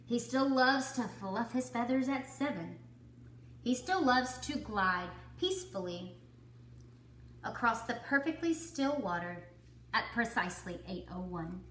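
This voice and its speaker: A woman reading a children's story to kindergarteners, with deliberate, dramatic phrasing. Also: on the high side, animated, fairly bright tone, roomy sound, a little hiss or hum, rough recording. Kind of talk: expressive children's story narration.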